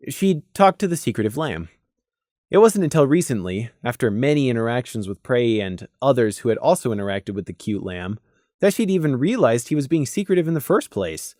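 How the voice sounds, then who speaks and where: Audiobook narrator for fantasy fiction, mid-pitched, animated delivery, dry sound. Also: dry recording.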